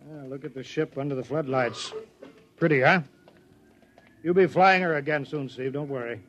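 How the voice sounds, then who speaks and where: A man's voice: fairly high pitch, moderate pace, a bit bright, slight background noise, close to an everyday voice. A man acting in a 1950s radio drama as a harried rocket company boss, urgent and placating.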